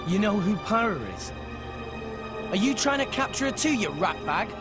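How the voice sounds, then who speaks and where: High-voiced plucky boy hero. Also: animated, moderate pace, over music. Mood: defiant, earnest. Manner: earnest, youthful, spirited. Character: earnest, plucky, loyal teenage boy hero. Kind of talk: video game cutscene acting.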